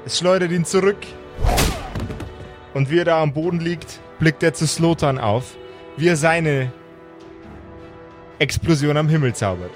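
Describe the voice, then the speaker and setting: A man's voice: on the high side, measured pace, long pauses. A man narrating as game master in a dramatic, improvised storytelling style. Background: music.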